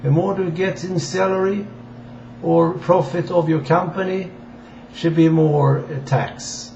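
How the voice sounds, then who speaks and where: A man from Sweden with a Swedish accent gives an informal political address in halting, deliberate non-native English. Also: fairly high pitch, fairly even intonation, creaky, dark tone. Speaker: amateur political campaigner addressing viewers. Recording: dull, echoey room with faint hiss.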